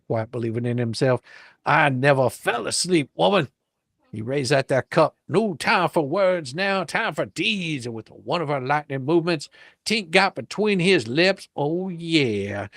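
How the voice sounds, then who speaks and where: A man's voice: mid-range voice, vocal fry, fairly bright tone, no echo, clean studio sound. A man reading a storybook dramatically, with theatrical delivery and playful, folksy, ungrammatical embellishments. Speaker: reader, storyteller.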